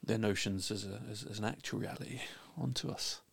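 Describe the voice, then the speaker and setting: A man's voice: lowish voice, fairly even intonation, fairly quick, vocal fry, breathy edge. A man with a London accent, a linguist guest giving blunt opinions with many restarts. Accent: London English. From England. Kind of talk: casual opinionated discussion.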